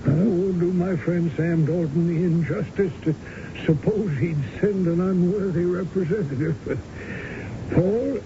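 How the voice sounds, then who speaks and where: A genial, sardonic old man from a vintage radio drama, with a fairly high voice and a hesitant, pompous delivery full of throat-clearing. The recording is muffled, with clear background noise. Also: unhurried. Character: ailing old American art-collecting husband, genial yet sardonic. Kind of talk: 1970s radio drama acting.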